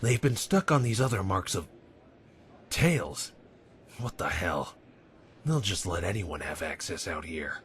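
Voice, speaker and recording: A man voicing a gruff, sardonic hedgehog agent in a fan audio drama, curt and cynical. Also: sits low, expressive, a little slow, pauses often, slight background noise. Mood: irritated.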